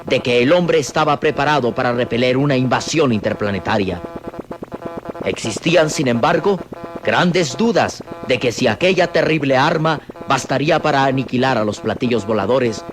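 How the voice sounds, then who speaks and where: Male narrator, mid-pitched, solemn and suspenseful delivery for a vintage radio serial, music underneath. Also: lively intonation. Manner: solemn, measured phrasing with dramatic pauses. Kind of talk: scripted dramatic radio narration. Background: dramatic music.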